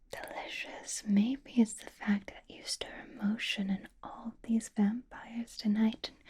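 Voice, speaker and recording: A woman playing a flirtatious, possessive female vampire in an ASMR roleplay, softly whispering with teasing endearments. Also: medium pitch. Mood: flirtatious, amused. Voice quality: soft breathy whisper. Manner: slow, teasing, drawling endearments.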